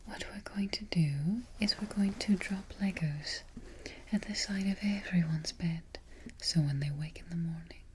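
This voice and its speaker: A woman playing a haughty evil queen in a soft-spoken ASMR roleplay, breathy and whispery, giving petty orders with imperious, mock-sweet deliberation. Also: lowish voice, unhurried, slight background noise, lightly acted. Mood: smug, mischievous. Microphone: close ASMR microphone.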